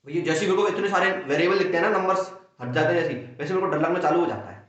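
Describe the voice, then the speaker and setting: A young man with a sore throat races through a maths lesson, bursting with energy and voicing his students' questions and answers himself. His voice sits a little high, and it rings around a very echoey room on a dull, lo-fi recording. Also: rapid-fire, fairly bright tone. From India. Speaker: maths teacher. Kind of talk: fast Hindi exam-prep lesson.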